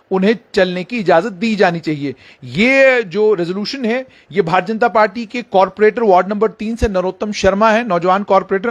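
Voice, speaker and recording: A man explaining news directly to viewers as a reporter, emphatically repeating phrases. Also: high-pitched.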